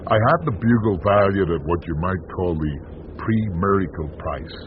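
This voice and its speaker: A man's voice: low voice, animated, moderate pace. An actor playing a world-weary, bitterly sardonic small-town American newspaperman in a radio drama, speaking with theatrical deliberation. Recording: dull lo-fi radio broadcast, noisy.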